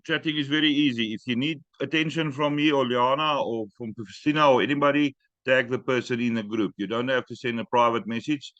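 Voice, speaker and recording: A man hosting an online training call, giving brisk instructions with joking asides. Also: medium pitch, steady tone, fairly bright tone, dry recording, highs cut off.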